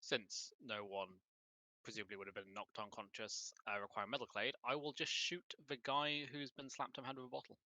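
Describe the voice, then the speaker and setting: A man playing a tabletop roleplaying game, chatting casually with dry humour and wordy, deliberate phrasing. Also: medium pitch, flat delivery, bright, forceful tone, no echo, rough recording.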